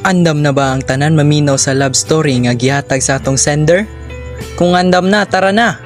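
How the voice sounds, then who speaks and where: A man's voice: fairly high pitch, moderate pace, soft background music. A man with a Filipino accent reading scripted station idents and show intros as a radio announcer.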